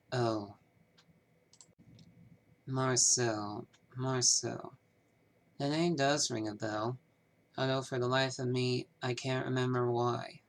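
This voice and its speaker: A man voicing an evasive, troubled small-town bank manager in a game, speaking in a measured, formal way. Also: medium pitch. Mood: confessing, worried, then musing. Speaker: amateur voice actor. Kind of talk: scripted game character dialogue, fan performance.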